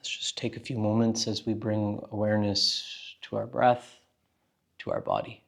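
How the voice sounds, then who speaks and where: A man with a low, gravelly voice leads a guided meditation. His delivery is calm and gentle, staying very even in tone. He takes his time, leaving long pauses between instructions. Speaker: meditation teacher.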